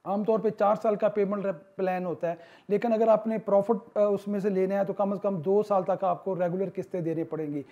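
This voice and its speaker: Male consultant, high and soft-toned, persuasive voice-over full of "uh" fillers, muffled lo-fi sound. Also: steady tone. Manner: persuasive sales pitch, many "uh" pauses. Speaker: real estate consultant. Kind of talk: promotional voice-over.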